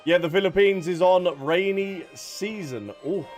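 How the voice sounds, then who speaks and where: A man livestreaming a game in a fairly high, very animated voice. He is unhurried and teasing as he comments and chats to viewers, with music faintly underneath. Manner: playful, teasing. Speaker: game streamer. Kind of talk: casual gameplay commentary, chatting with viewers.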